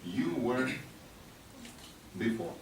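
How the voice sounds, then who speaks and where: A man's voice: mid-range voice, big pitch swings, extremely slow, far from the mic. Male conference speaker, mid-range voice, encouraging but hesitant delivery, heard from afar in a noisy hall. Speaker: speaker addressing a youth conference. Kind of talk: motivational speech with audience questions. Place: large echoing hall at a conference ceremony. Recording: echoey hall from afar, background noise.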